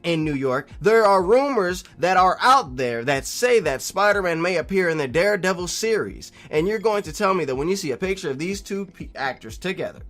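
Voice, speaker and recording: A man hosting a casual, opinionated video reply, speaking emphatically and repeating phrases for stress, moving between loud insistence and quieter asides. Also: high-pitched, expressive, fairly quick, few pauses. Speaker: video host replying to a viewer comment. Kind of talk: casual opinionated commentary to camera.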